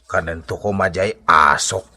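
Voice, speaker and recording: An actor playing a proud, blustering old Ilocano father-in-law in a comedy radio drama, with a husky voice and an exclamatory, drawling delivery. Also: sits low, steady tone, unhurried, pushed and bright, heavily acted. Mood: sheepish. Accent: Filipino, Ilocano.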